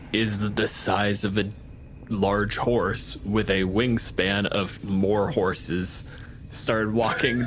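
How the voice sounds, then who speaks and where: Slow-talking man with wry scene descriptions. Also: mid-range voice, a bit bright, a little hiss or hum. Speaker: game master. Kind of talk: describing a scene in a tabletop game.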